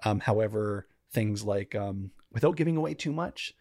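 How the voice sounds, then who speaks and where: A man's voice: lowish voice, lively intonation, moderate pace, creaky, a little mellow, no echo, clean studio sound. Joking young man co-hosting a podcast. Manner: easygoing, joking, leads the story. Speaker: podcast co-host. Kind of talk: casual chat.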